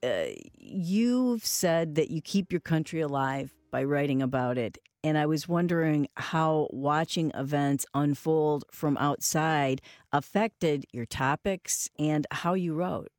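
A call-in show host with a medium-pitched voice, recorded dry and close. The delivery is that of a broadcast interviewer, presenting a guest and putting questions. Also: takes it slowly, few pauses, a little mellow, no room sound.

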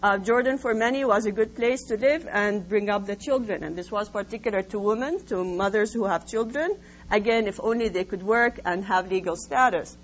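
A woman researcher presenting her findings in an academic talk, explaining in a measured way with frequent hesitant pauses. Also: mid-range voice. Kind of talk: academic lecture, semi-scripted. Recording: dull lo-fi sound with faint hum.

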